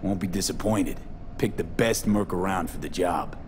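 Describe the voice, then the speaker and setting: An actor playing a cocky, confident mercenary in a video game, with a laconic, clipped, dry delivery. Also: male voice, deep voice, normal speed, darkish tone, a little hiss or hum, lightly acted. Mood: confident, businesslike. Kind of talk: video game dramatic acting.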